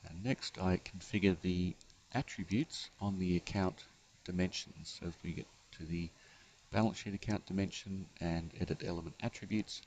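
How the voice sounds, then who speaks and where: Male software presenter, low voice, halting step-by-step walkthrough, unscripted screencast delivery. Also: steady tone, lo-fi sound, a little hiss or hum. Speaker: software developer giving a demonstration. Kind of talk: unscripted screencast tutorial narration.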